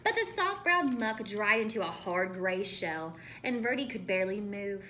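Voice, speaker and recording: A woman reading a storybook aloud to children as a teacher, expressive and pausing to ask questions. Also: mid-range voice, a little hiss or hum.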